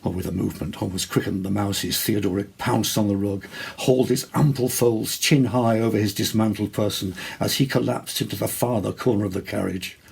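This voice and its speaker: Very husky, low-voiced male storyteller. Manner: measured, expressive, deliberate. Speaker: actor reading a short story. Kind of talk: dramatic literary narration.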